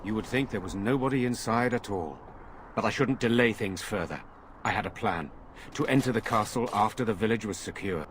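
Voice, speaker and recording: A man's voice: on the low side, slight background noise, close to an everyday voice. A man playing a dutiful, courteous nobleman in a video game, speaking formally and earnestly. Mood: grave, apologetic. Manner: formal, measured. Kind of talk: dramatic video game acting.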